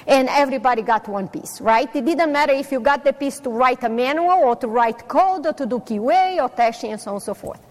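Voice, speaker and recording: Middle-aged Brazilian woman, Portuguese accent, slightly high voice, friendly fumbling stage talk in a reverberant hall. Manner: chatty, self-deprecating, many fillers. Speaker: conference speaker. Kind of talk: personal talk to a live audience. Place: conference hall.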